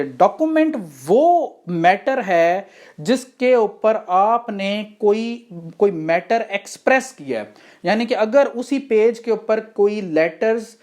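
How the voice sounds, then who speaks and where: A woman's voice: medium pitch, darkish tone. Pakistani woman giving an explanatory lecture. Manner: didactic, poses questions and answers them. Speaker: law lecturer.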